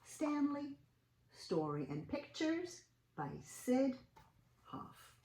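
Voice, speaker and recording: A woman reading a storybook aloud to young children in an expressive way, with little interjections and questions to her listeners. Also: sits high, very slow, slight background noise. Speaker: children's read-aloud host. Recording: roomy, echoing sound.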